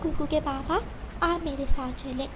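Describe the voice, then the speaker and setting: A woman's voice: high voice, steady tone, measured pace. A woman voicing an anxious, caring mother sparrow in a cartoon, speaking in a worried, polite way. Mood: insistent. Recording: loud storm, rain and traffic-like noise behind.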